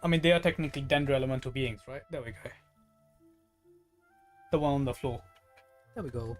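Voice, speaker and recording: A game streamer talks casually in a medium-pitched voice while playing. The delivery is musing and speculative, working through ideas aloud, with game music in the background. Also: a little fast. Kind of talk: casual live gaming commentary.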